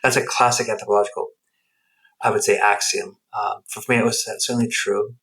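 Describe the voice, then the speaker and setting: A man with a lowish voice, raised speaking both Spanish and English, tells his life story as a podcast guest. He talks quickly, circling back and restarting his sentences as he thinks aloud. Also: level tone, vocal fry, a bit bright, poor audio. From United States. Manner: rambling, reflective, many restarts. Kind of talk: reflective storytelling interview. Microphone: headset earphones.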